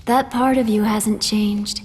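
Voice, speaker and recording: A serene immortal woman, her voice husky and breathy in a long, ringing hall. She speaks gently and formally, like a wise counselor in a fantasy game drama. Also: mid-range voice, steady tone, slow pace. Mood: gentle, sincere. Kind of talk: dramatic game cutscene acting.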